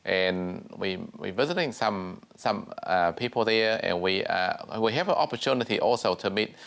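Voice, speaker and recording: A very deep-voiced man from Vietnam hosts a business chat show. He talks in a relaxed, halting way, stopping often on fillers as he gathers his thoughts. English is his second language. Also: vocal fry. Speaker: host. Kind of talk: casual business interview.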